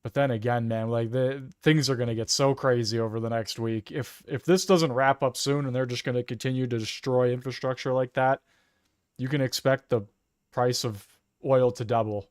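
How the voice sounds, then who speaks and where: A Canadian man with a smooth, clear voice at an average pitch. He gives opinionated political commentary in a casual, informal way. The sound is close and dry, with little room in it. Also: steady tone, normal speed, no room sound. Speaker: co-host commentator.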